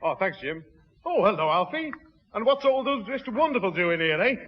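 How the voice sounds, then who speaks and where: An actor playing a jovial middle-aged Northern English bachelor who pompously muddles fancy words in a 1960s radio sitcom. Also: male voice, sits high, big pitch swings, a little fast. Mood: jovial, teasing. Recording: muffled, hissy, reverberant 1960s radio recording.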